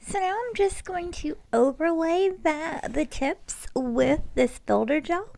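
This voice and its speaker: A woman nail artist talking casually through a tutorial vlog, stuffy-nosed with audible breathing and halting restarts. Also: sits high, creaky, a little mellow.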